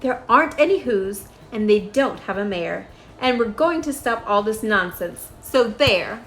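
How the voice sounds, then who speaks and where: A woman's voice: mid-range voice, animated, unhurried, slight background noise, poor audio. Woman as a jeering, rowdy storybook monkey. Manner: shouting, scoffing.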